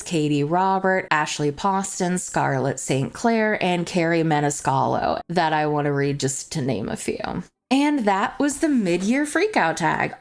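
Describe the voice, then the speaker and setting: A young adult woman chatting as a book vlogger, gushing and confessional in manner. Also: on the low side. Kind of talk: chatty book review to camera. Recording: music playing behind the voice. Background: background music.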